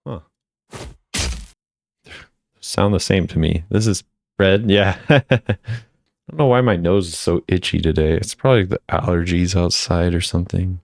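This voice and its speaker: A man's voice: low voice, moderate pace, creaky, long pauses, darkish tone, dry recording, clean studio sound. A man giving casual chatty commentary as a video creator, often repeating phrases for emphasis. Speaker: video creator ranking game voice lines.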